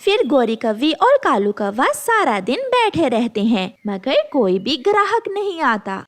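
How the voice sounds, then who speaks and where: A woman's voice: high-pitched, sing-song, measured pace. A woman reading scripted narration for a children's cartoon story.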